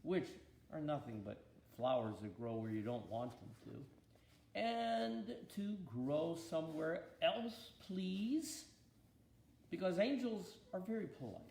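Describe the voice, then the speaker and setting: An older man reading a children's storybook aloud in an expressive, grandfatherly way, with deliberate pauses and chatty asides. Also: fairly high pitch, vocal fry, slight background noise. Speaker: bishop reading Bible stories to his granddaughter. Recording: echoey room.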